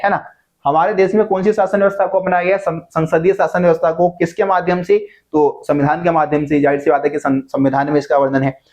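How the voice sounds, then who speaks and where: A man's voice: sits high, a little mellow, highs cut off. A man teaching an exam-prep lesson in a conversational way, often checking in with his students and answering his own questions. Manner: conversational, keeps checking in with "ठीक है जी"; repeats key points, asks and answers own questions.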